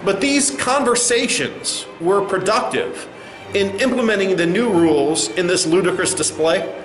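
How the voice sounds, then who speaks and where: A man playing a condescending, contemptuous corporate spokesman in a satirical comedy sketch, talking in halting mock-official phrases. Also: high voice, animated, reverberant, faint music behind, rough recording. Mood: smug, condescending. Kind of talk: satirical press conference acting.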